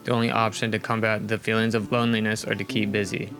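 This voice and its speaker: A man reading scripted, reflective voice-over narration in a calm, measured way. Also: fairly low pitch, music in the background.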